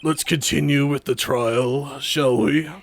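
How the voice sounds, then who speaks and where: A man voicing a stately elderly judge in a courtroom video game, formal and measured. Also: medium pitch, steady tone, creaky, a little hiss or hum, voice pushed down. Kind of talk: video game role-play.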